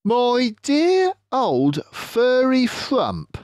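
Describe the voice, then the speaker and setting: Man putting on a cocky, swooping, high-pitched storybook fox. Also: huge pitch swings, a little mellow, dry recording, studio quality, heavily acted. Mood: persuasive. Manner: theatrical, emphatic, drawn-out delivery. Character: cocky, suave male fox, sly leader and provider.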